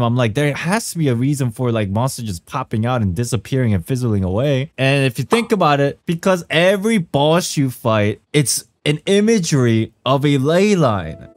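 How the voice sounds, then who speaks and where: A man's voice: medium pitch, dry recording. A young man giving casual live stream commentary and speculation as a game streamer, recorded on a studio mic in a room at home. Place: small furnished room at home. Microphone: large studio mic close by. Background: faint game music.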